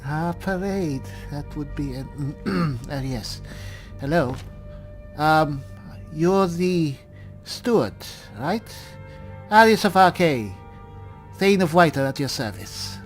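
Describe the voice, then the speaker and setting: A man voicing a polite, bumbling cleric adventurer in in-character gameplay commentary, halting and musing. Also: on the high side, expressive, faint music behind. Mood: hesitant, polite. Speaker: roleplaying game player.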